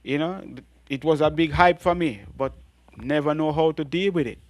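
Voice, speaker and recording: A middle-aged Jamaican man with a deep voice, telling animated stories as a guest in an interview. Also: normal speed, no room sound. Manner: animated storytelling with frequent laughs and restarts. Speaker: guest reggae artist. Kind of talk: storytelling interview.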